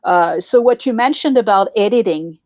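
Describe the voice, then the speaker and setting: A woman's voice: medium pitch, lively intonation, moderate pace, a little mellow, no echo. A woman whose first language is French, co-hosting a podcast and explaining writing tips in a casual, roundabout way with many fillers. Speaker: podcast co-host. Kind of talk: casual advice talk.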